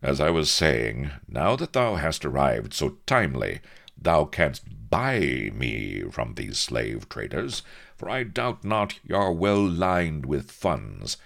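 Storybook pirate captain, acted by a male narrator: dashing, eager, chivalrous, hearty archaic flourishes, very animated intonation. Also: a bass voice, slow pace, vocal fry, exaggerated voice.

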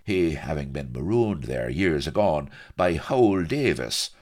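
A man voicing a brash male colonel, a swaggering soldier of fortune who talks in a gruff, scornful way. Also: very deep voice, sing-song, measured pace, a little mellow, exaggerated voice.

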